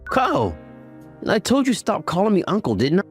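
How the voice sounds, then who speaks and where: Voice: mid-range voice, huge pitch swings, moderate pace. A performer voicing a caring, teasing young uncle in a romantic comedy manga story, mixing narration with dialogue in a mild manner. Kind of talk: anime-style recap narration and dialogue. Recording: music under the voice. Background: background music.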